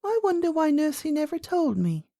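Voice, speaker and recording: A woman's voice: high voice, sing-song, moderate pace, dark tone, no echo, clean studio sound, pitched above the performer's own voice. A woman voicing a curious, outspoken little princess in a storybook, eager and childlike, full of questions. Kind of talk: storybook character voice.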